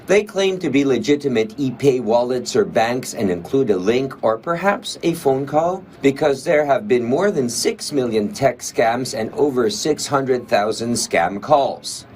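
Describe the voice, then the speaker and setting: Male reporter, Filipino accent, average pitch, reading a news package with slight noise behind. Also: a little mellow. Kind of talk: scripted news narration.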